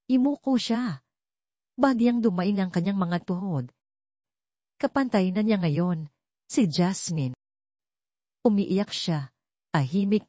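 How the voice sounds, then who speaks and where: A woman's voice: on the low side, dark tone, no room sound. A woman reading scripted dramatic narration as the narrator of an audio story.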